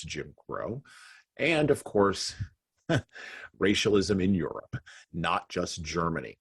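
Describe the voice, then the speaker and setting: Male podcast host, deep and breathy, reflective talker. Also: fairly bright tone, dry recording, clean studio sound. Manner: reflective, laughs between points. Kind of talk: conversational podcast discussion.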